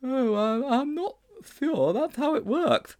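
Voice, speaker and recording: Man voicing a high, wavering timid little schoolboy. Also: big pitch swings, darkish tone, heavily acted. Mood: nervous, evasive. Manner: hesitant, drawn-out, fretful delivery. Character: small, timid, dithering, anxious schoolboy.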